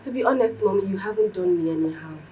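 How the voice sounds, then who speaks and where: An actress playing a meek, forgiving young stepdaughter in a melodramatic film, earnest and deferential. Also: female voice, medium pitch, fast, warm tone, lightly acted. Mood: placating, forgiving. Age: young adult. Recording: distant mic, background noise.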